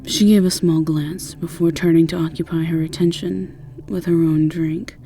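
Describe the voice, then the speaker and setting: A woman's voice: low-pitched, steady tone, moderate pace, mellow, faint music behind. A woman narrating a scripted fantasy roleplay in the second person, with a wry storyteller's delivery. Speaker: narrator and voice actor.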